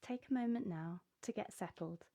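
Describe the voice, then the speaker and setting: A woman hosting a guided relaxation for children, giving gentle, measured instructions step by step. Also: mid-range voice, gravelly. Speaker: host of a children's relaxation program.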